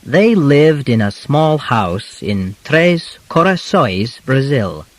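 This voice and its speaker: Slow, lively-pitched male audiobook narrator. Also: no echo. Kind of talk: scripted storybook narration in short simple sentences.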